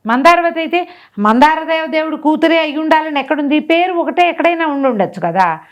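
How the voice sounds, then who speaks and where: A woman storyteller with a slightly high voice. She retells a folk tale as if chatting, putting questions to the listener and acting out what the characters say. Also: brisk, dull audio. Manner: animated retelling with rhetorical questions and acted-out dialogue.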